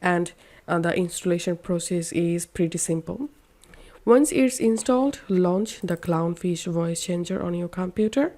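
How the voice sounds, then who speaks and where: A woman narrating a step-by-step software tutorial in simple, instructional wording. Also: lowish voice, a little slow. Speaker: tutorial host.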